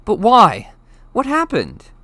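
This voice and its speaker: A man plays a young storybook boy, bewildered and earnest. The voice is lifted high, halting and incredulous as it questions. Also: huge pitch swings, sharp tone, dry recording, full character voice. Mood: shocked, urgent. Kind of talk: storybook character voice in an audiobook reading.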